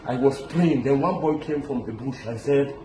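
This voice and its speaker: Halting middle-aged man. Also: mid-range voice, mellow, echoey room, slight background noise, low-quality recording. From Lebanon. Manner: halting, with restarts and repeated words. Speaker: interviewee recounting an ordeal. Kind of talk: emotional first-person account.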